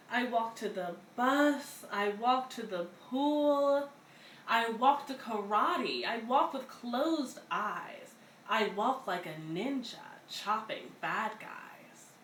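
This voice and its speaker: A woman reads a picture book aloud for young children, heard from a distance in an echoey room. Her delivery is expressive and deliberate. There is faint noise behind her. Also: on the high side. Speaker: read-aloud story reader at a community center. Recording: roomy, distant mic, faint background noise.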